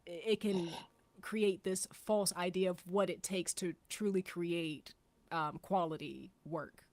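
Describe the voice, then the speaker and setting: A woman's voice: lowish voice, steady tone, measured pace, darkish tone, dry recording. A woman explaining her views colloquially in a casual panel discussion.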